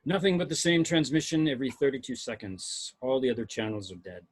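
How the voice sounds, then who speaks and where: A man reading a measured science officer on a spaceship, reporting technical readings matter-of-factly at an amateur table read. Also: mid-range voice, expressive, breathy edge. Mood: clinical.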